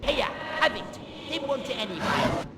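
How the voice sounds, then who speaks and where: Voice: high-pitched, sing-song, moderate pace, background noise. An actor voicing a mischievous, taunting poltergeist in a video game, with singsong teasing and a sneer. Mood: sulky. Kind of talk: video game cutscene acting. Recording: echoey hall with long reverb, music behind.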